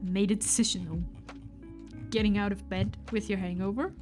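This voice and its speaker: Young woman, German first language, casual stream chatter, halting restarts, faint lofi music behind. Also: medium pitch, fairly even intonation. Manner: chatty, thinks aloud with many fillers and restarts. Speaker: livestreamer. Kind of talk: casual chat while drawing.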